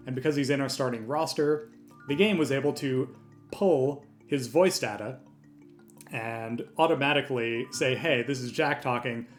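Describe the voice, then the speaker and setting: A man hosting a software tutorial, talking through each step in an informal, explanatory way. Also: medium pitch. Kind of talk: step-by-step screen tutorial narration, conversational. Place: voice-over for a screen recording.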